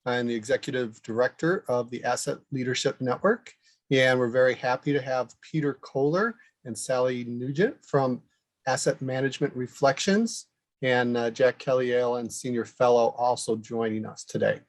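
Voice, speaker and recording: A man hosting a webinar, presenting in a measured, somewhat halting way with pauses. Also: medium pitch, fairly even intonation. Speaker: host and moderator, association director. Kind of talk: webinar presentation, semi-scripted.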